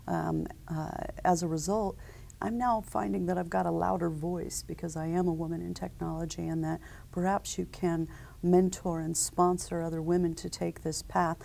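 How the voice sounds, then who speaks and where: A woman in her forties speaks as a seated conference interview guest. Her voice sits low with a gravelly edge and a dark, mellow color. She talks in a measured, businesslike way, filling gaps with ums and uhs. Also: little pitch movement. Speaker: company founder, interview guest. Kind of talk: conversational interview answers.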